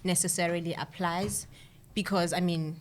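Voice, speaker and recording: A young woman from South Africa on a panel, reasoning through her points and questioning others in a measured way. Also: lowish voice, fairly even intonation. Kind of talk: casual panel chat.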